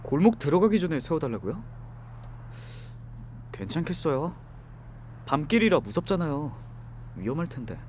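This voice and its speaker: Kind young driver, soft and comforting, voiced by a man, higher voice, very lively pitch. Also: normal speed, long pauses, a little hiss or hum. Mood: gentle, comforting. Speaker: voice actor in an audio drama. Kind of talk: ASMR audio drama acting.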